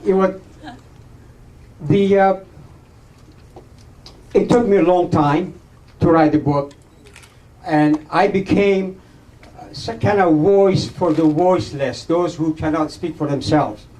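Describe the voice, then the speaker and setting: A man's voice: fairly high pitch, slow, pauses often, a little mellow, poor audio. A man in his seventies with an Iranian accent, a native Farsi speaker giving a humble, joking acceptance speech with many hesitations. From Iran. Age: 70s. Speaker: award recipient, author.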